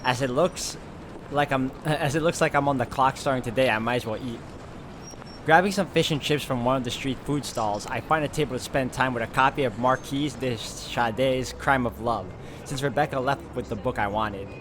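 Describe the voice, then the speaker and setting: A man hosting a game walkthrough, reading story narration aloud in an expressive, conversational way with casual asides. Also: mid-range voice, moderate pace, noisy background. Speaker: video game walkthrough host.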